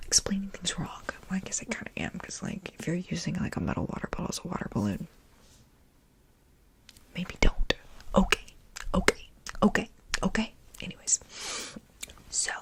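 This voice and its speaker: A young woman speaks entirely in a breathy, unvoiced whisper, very airy and husky, right up against the microphone. Her delivery is playful and meandering, looping words rhythmically as she rambles through silly ideas. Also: medium pitch, a bit bright. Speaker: ASMR creator. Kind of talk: ASMR whispering, deliberately silly wrong explanations.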